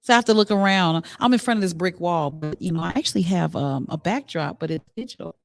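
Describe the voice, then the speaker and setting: A woman's voice: on the low side, animated, fast, creaky. A middle-aged woman hosting a live show in a casual, chatty way, talking directly to her viewers. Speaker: talk show host.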